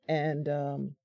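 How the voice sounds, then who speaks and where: A woman streamer talks slowly in a slightly low voice, tired and giggly, laughing off her own mistakes. The sound is close and dry, with no room echo, like a desk microphone at home. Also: gravelly, highs cut off. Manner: chatty, giggly, self-deprecating. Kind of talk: casual live-stream chat.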